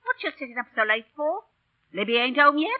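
Older mother, high swooping voice, probing, wry, vintage radio play, tinny recording. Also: very animated, fast talker. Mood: chiding, wry. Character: nosy, sensible old London mother, gently needling. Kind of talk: 1940s radio drama acting.

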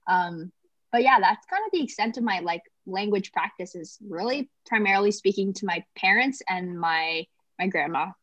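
A woman's voice: medium pitch, moderate pace, fairly bright tone, no room sound, dull audio. A young woman from Canada, chatting casually in an interview and often saying "like". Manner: chatty, lots of "like" fillers.

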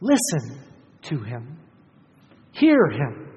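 A preacher speaks slowly and solemnly, with a pitch that rises and falls widely for emphasis. The phrasing is rhetorical and deliberate, as in a sermon, and the voice rings with the long reverb of a large hall. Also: wildly swooping pitch, a little mellow. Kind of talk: sermon with scripture reading.

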